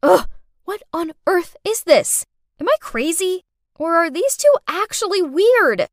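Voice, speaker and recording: A woman's voice: high voice, big pitch swings, a little slow, dry recording, clean studio sound. A woman playing an exasperated young heroine in a story, indignant and melodramatic.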